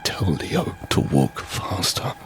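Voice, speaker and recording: A man's voice: takes it slowly, close to an everyday voice. A man playing a tense survivor who narrates a zombie apocalypse audio drama in short, suspenseful phrases. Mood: tense, hushed. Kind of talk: first-person dramatic narration with acted dialogue. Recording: background music under the voice.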